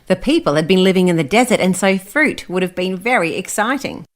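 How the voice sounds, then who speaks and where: A woman's voice: on the low side, expressive, brisk. A woman with an Australian accent hosting and reading scripted stories for children, explaining things simply. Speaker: children's Bible story host.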